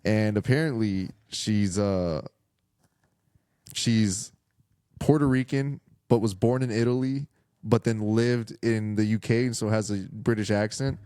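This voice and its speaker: A man's voice: on the low side, steady tone, a little slow, warm tone, clear, resonant tone. A man in his early twenties, a guest telling stories in a casual chat, self-deprecating and swearing freely. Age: 20s.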